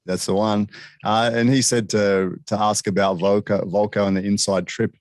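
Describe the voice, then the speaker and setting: A man's voice: on the low side, little pitch movement, normal speed, dry recording. A man from Sydney with an Australian accent, hosting a casual interview and often tacking on "man". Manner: laid-back, says man and bro a lot. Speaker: interview host.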